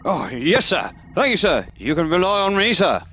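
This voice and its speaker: A man's voice: high voice, very animated, moderate pace, sharp tone, husky, heavily acted. A man playing an eager, deferential old soldier serving as a starship security chief in a comic radio play, talking in a wordy, rambling way.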